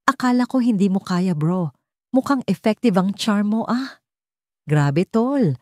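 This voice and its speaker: A woman's voice: medium pitch, expressive, measured pace, mellow, dry recording, clean studio sound, voice pushed up, natural voice. A woman voicing a cocky young man who teases his friend with taunting, slangy banter in a story. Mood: mocking, impressed. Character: cocky young male friend teasing about a bet.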